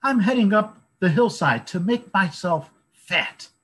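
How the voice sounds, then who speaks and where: A man doing a tiny squeaky billy goat. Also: lively intonation, measured pace, a bit bright, breathy edge, full character voice. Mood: squeaky pleading. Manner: squeaky, pleading.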